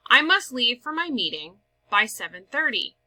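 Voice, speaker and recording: Storybook mother, played by a female reader: displeased, brisk, firm and emphatic, with bright, lively intonation. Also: on the high side, pitched above the performer's own voice, exaggerated voice. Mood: stern, hurried. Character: brisk, displeased mother instructing her babysitter. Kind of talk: storybook read-aloud.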